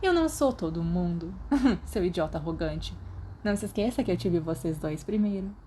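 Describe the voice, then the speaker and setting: A woman with a Brazilian Portuguese accent voicing a lively, teasing teenage girl in a podfic reading. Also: lowish voice, moderate pace, slight background noise, lightly acted.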